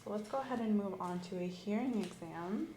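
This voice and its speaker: A woman speaking calmly and clinically as an examiner in an ASMR medical role-play, often dropping to a whisper. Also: mid-range voice, a little fast, reverberant, slight background noise. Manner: calm, clinical, soft-spoken.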